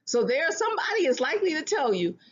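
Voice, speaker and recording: A speaker giving earnest relationship advice in a self-help talk, often restarting phrases and slowing down to stress a point. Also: sits high, sing-song, a bit bright, dull audio. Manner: earnest, repeats and restarts phrases, slows for emphasis.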